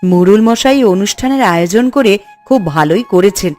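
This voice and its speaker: A hopeful village housewife, acted by a woman, from a Bengali cartoon. She chats in a homely way at a middling female pitch, over background music. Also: expressive, fairly quick, warm tone, lightly acted. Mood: hopeful, practical. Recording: music under the voice.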